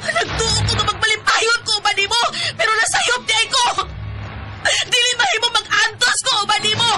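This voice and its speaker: An actress playing an anguished, fed-up wife in a melodramatic radio drama, wailing with a Cebuano accent. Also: female voice, a little fast, over music, rough recording. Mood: anguished, defiant. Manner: wailing, shrill. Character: anguished, fed-up wife quarrelling with her violent husband.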